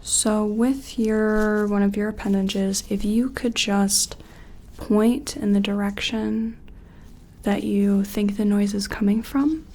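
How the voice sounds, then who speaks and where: A woman playing a gentle, curious sci-fi examiner in an ASMR role-play, soft-spoken and slipping in and out of a soothing whisper. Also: mid-range voice, steady tone, slow.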